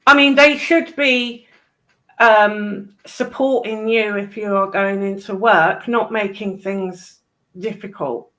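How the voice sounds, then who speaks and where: A woman's voice: medium pitch, fairly even intonation, slow, bright, forceful tone, low-quality recording. A woman chatting casually as a guest on a live stream. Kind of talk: casual chat.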